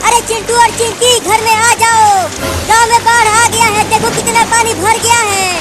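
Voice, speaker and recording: A performer voicing a worried, scolding village mother in a Hindi children's cartoon, with a childlike pitched-up voice. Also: flat delivery, normal speed, over loud music. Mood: alarmed scolding.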